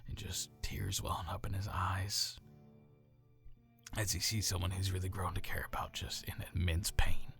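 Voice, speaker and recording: A very low-pitched man from the American South, speaking with a neutral accent and a natural gravel. He explains a voice build in a loose, rambling way, with plenty of fillers and restarts. Faint music sits underneath. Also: flat delivery, moderate pace, fairly bright tone, whispered. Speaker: voice actor. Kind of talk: explaining how he builds a character voice.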